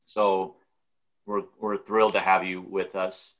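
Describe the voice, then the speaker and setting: Low-voiced male podcast host. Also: flat delivery. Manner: warm, encouraging, measured. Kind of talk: podcast interview.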